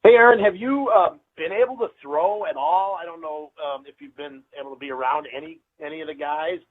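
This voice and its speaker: Halting higher-pitched man on phone. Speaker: sports reporter. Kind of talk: informal press question. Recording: narrow phone-like call audio.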